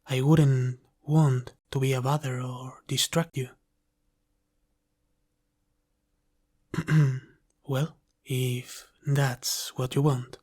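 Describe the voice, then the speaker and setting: A man playing a polite, caring dragon butler in an ASMR roleplay, speaking formally, gently and deferentially. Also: medium pitch. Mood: humble, deferential. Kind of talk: ASMR roleplay, soft scripted character acting.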